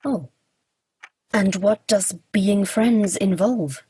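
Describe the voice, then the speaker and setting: A woman acting Death in a radio play as a formal, literal, reserved figure with stiff, measured diction. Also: medium pitch, mellow, a little hiss or hum. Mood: hesitant, reserved.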